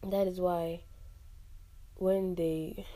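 A young woman from Nigeria, hosting a casual solo podcast, chatty and animated, with frequent laughs and fillers. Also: fairly low pitch, extremely slow. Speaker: podcast host.

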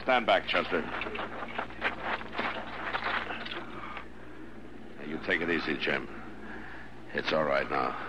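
A man acting a firm, authoritative American marshal in a 1950s radio western, speaking in a measured way. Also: a bass voice, animated, dull audio. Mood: gentle, grave. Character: stern, commanding frontier US marshal. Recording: noisy old radio drama recording.

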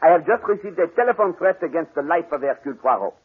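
A formal, cocky french-accented sleuth, old radio play, highish lively voice, scratchy narrow-band sound. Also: male voice, fast talker, breathy edge. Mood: self-assured. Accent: French-accented English. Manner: formal, precise, theatrically polite. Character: courteous, fussy, self-assured foreign male detective. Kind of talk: 1940s radio drama acting. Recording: muffled, scratchy 1940s radio recording.

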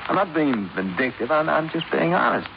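A man's voice: on the high side, lively intonation, brisk, creaky. An actor playing a spoiled, sardonic son in his twenties, glib and mocking, in 1950s radio drama style. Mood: gleefully mocking. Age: 20s. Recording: noisy muffled 1950s radio recording.

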